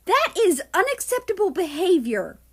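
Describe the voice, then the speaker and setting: A woman's voice: extremely high pitch, big pitch swings, moderate pace, pitched above the performer's own voice, full character voice. A woman voicing a stern, fussy, short-tempered stepfather in a story, scolding indignantly. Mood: exasperated scolding.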